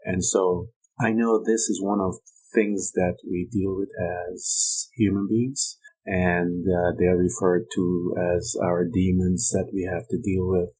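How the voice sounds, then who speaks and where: Deep husky-voiced man, halting. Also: little pitch movement, a little mellow, rough recording. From Guyana. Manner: slow and halting, many ums and uhs. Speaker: podcast host. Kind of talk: reflective personal-development monologue. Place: United States.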